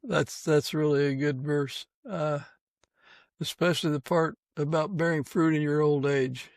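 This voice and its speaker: Guy podcast host, kinda high voice w lots of creak, chatty interviewing, dry sound. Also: fairly even intonation, a little slow, no echo. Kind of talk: conversational interviewing.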